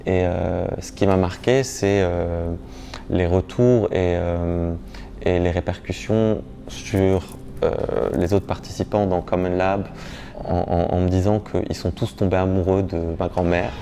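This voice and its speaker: A performance artist from Lebanon speaking in an interview, pausing often with fillers and restarts. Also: male voice, low voice, fairly even intonation, vocal fry, warm tone, a little hiss or hum. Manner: hesitant, many fillers and restarts.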